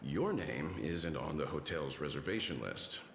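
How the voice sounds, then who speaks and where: A seasoned middle-aged traveler in a video game. He is composed and measured, with a low voice that echoes a little over faint ambience. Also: animated, vocal fry, fairly bright tone, slight background noise, full character voice.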